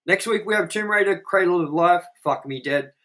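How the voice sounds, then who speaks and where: A man's voice: sits high, normal speed, fairly bright tone. A man hosting a casual movie review show, jokey and loose, swearing freely. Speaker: show host.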